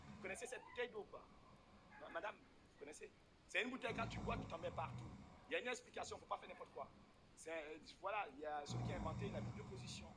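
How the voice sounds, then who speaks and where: Very high-pitched male stand-up comic. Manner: animated, exclamatory, teasing the crowd. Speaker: stand-up comedian. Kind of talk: stand-up comedy to a live audience. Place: stage in a venue with an audience. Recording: noisy live stage recording with ambience.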